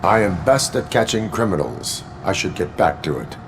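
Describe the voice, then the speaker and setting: A stoic machine-man of the law for a video game. The voice sits very low, with clipped, formal, deadpan phrasing. There is faint noise in the background. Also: normal speed. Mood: flat, businesslike. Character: stoic male cyborg police officer, formal and dutiful.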